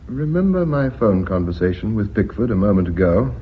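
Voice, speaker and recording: An actor playing a measured, polite British company secretary in a radio drama, speaking formally and methodically. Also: male voice, fairly low pitch, big pitch swings. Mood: calm, explanatory. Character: measured, deferential company secretary and bookkeeper revealing his scheme. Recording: muffled, noisy old radio recording.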